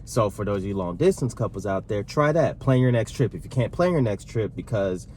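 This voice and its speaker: A man in his thirties co-hosting a casual sit-down Q&A with his partner, talking in a relaxed, rambling way. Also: lowish voice, fairly quick, a little hiss or hum. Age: 30s. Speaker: vlog co-host.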